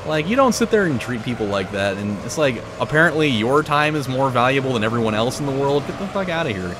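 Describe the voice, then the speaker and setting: A man hosting a gaming video, telling stories in a casual, animated way with swearing. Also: mid-range voice, fast talker, background noise. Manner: animated storytelling with profanity. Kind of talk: casual chat over a racing game. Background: loud game music and car engines.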